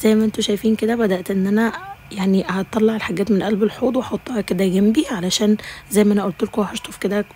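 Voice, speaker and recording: A woman's voice: mid-range voice, fairly even intonation, rapid-fire, a little mellow. A woman with an Egyptian accent narrating a home routine vlog, affectionately calling her viewers dear sisters, her voice a little tired. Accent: Egyptian Arabic. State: slightly tired voice. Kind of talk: casual voice-over narration.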